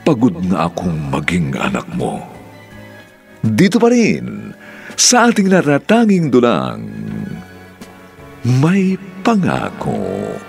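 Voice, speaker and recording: A man's voice: on the low side, huge pitch swings, slow pace, gravelly, pauses often. A man with a Filipino accent giving theatrical scripted narration as a radio drama announcer. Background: music.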